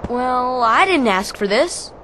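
An earnest young game hero, a spirited teenage boy. His high voice moves expressively and without hurry, over clear background noise. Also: lively intonation, slow, heavily acted. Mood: troubled.

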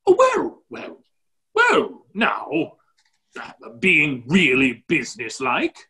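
A man's voice: fairly high pitch, huge pitch swings, slow pace, sharp tone, full character voice. A middle-aged man playing a genial, muddle-headed, blustering duke in a play reading over a video call. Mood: breezy, self-satisfied. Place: indoor office, video call. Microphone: computer microphone on a video call.

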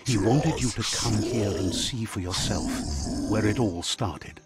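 A man narrating a game in a measured, solemn storytelling manner, retelling what a creature says. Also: on the low side, over loud music.

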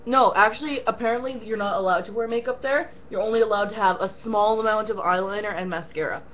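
A young woman co-hosting a casual, profane rant, animated and full of fillers like "um" and "like". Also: medium pitch, fast talker, highs cut off, a little hiss or hum. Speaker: co-host. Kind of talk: casual ranting chat.

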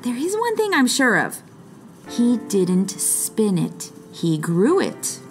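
A woman voicing a clever, calm young schemer in a storybook, measured and pausing for effect. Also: on the high side, faint music behind, exaggerated voice. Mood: sly.

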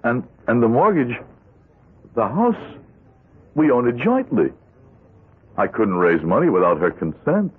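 Husky, flustered, desperate accountant. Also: male voice, mid-range voice, very animated. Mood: flustered, pleading. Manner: flustered, pleading, halting. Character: desperate, cornered accountant with gambling debts. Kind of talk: 1970s radio drama acting. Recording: muffled old radio broadcast with music.

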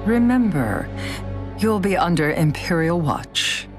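Stern, lively-pitched female official. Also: takes it slowly, music in the background. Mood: cool, stern. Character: stern, pragmatic woman official, coolly authoritative. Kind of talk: dramatic video game dialogue.

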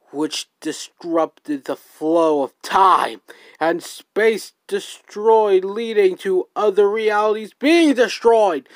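A woman voicing a grand, menacing male villain king, speaking slowly and heavily. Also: fairly low pitch, no echo, pitched below the performer's own voice. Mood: ominous. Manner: slow, heavy, threatening delivery.

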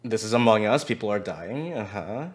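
A man's voice: lowish voice, lively intonation, normal speed. Casual man chatting in a game. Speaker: player in an online social deduction game. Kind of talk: casual group game chat.

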